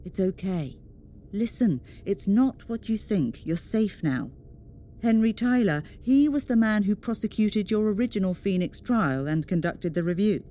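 A woman playing a calm, reassuring official in a dystopian audio drama. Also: unhurried, natural voice. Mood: soothing, persuasive. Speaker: witness care officer. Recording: clear background noise with low rumble.

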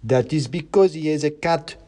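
A man's voice: a little high, mellow, pitched above the performer's own voice, close to an everyday voice. A man voicing a fanatical revolutionary mouse in a storybook, declaiming every line like a political slogan. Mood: scornful. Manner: declamatory, sloganeering, drawn-out delivery. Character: fanatical revolutionary committee mouse in a red sock hat.

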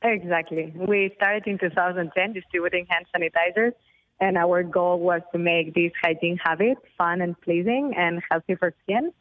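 A woman's voice: lowish voice, fairly even intonation, normal speed, no echo. A woman with a Barcelona Spanish accent, a company founder giving an upbeat, fluent radio interview over the phone. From Spain. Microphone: phone line. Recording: tinny, dry phone line.

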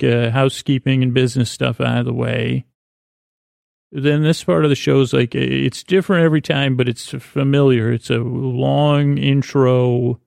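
A man hosting a sleep podcast with a meandering, halting, whimsical bedtime monologue in a gravelly, creaky voice. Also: mid-range voice, darkish tone, no room sound, clean studio sound. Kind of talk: rambling improvised bedtime monologue.

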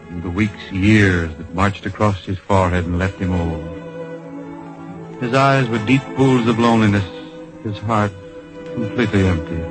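A man serves as a solemn storyteller in old-time radio drama. His fairly deep voice is grave, measured and poetic, carried over prominent music. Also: natural voice, low-quality recording. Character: solemn storyteller, compassionate and patriotic. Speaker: narrator.

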